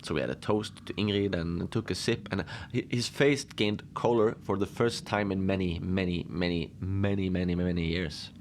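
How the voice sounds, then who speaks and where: Male actor as lone adventurer, deep crackly voice, deadpan, halting asides, slow-burn horror-comedy audio drama. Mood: deadpan, musing. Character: deadpan lone man recounting odd adventures on a frozen ship. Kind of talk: slow conversational first-person storytelling in a cozy horror audio drama.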